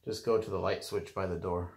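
Very deep-voiced man, encouraging, roomy recording. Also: steady tone, a little fast. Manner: gentle, encouraging. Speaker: parent. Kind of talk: casual talk with small children. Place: small child's bedroom, indoors. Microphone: handheld phone. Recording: roomy home recording with noise.

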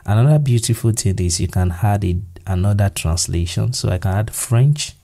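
A man from Nigeria with a Nigerian accent, a Yoruba speaker, walking through software steps in a relaxed voice-over, thinking aloud with fillers and restarts. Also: on the low side, normal speed, gravelly. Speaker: software tutorial presenter. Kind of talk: screen-recorded software tutorial. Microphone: voice-over to screen recording.

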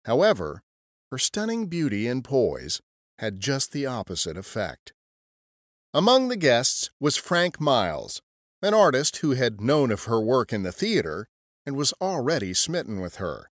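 Male podcast narrator, mid-pitched and expressive, scripted storytelling in a dry recording. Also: measured pace, no room sound.

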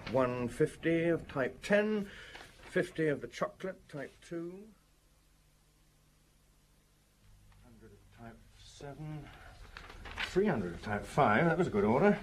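Evasive salesman with lively intonation. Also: on the high side, unhurried, slight background noise. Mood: businesslike. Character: smooth-talking, evasive salesman under police suspicion. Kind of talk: radio drama acting.

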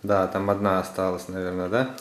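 Man, very deep voice, casual and encouraging with kids, roomy home recording. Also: flat delivery, brisk, a little hiss or hum. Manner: encouraging, instructing, asks short checking questions. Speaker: parent filming and guiding children's craft. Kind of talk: casual chat with small children. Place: living room at home, behind the camera. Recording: echoey room, off-camera.

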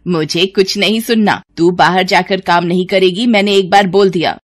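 A woman's voice: lowish voice, steady tone, moderate pace, lightly acted. A woman voicing a stern, complaining elderly mother-in-law in a cartoon story, scolding and domineering.

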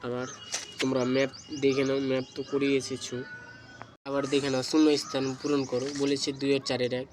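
A man reading out exam questions and answers as a tutor, in deliberate, segmented phrases. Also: mid-range voice, a little hiss or hum. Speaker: exam tutor, channel host.

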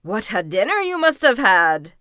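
A woman acts out a greedy folktale wolf. The delivery is loud, hearty and gullibly keen. The sound is dry and close. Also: medium pitch, very animated, normal speed, clean tone, no room sound. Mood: eager, hopeful.

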